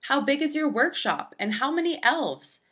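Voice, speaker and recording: Woman playing an eager, inquisitive child detective, bright-voiced. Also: high voice, animated, moderate pace, voice pushed up. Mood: eager, probing. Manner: eager, probing questions. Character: earnest, inquisitive child detective questioning Santa.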